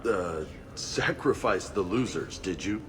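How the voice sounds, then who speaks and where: An actor playing a brash, joking soldier who talks in a casual way. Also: male voice, low-pitched, animated, slow pace. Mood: playful. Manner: casual, jokey. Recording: music playing behind the voice.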